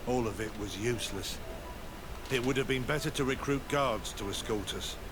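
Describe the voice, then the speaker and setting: A man's voice: mid-range voice, normal speed, very noisy, lightly acted. A man playing a shaken, deferential caravan survivor in a video game, recounting things in a grave, anguished way. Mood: weary, grave. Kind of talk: scripted video game dialogue.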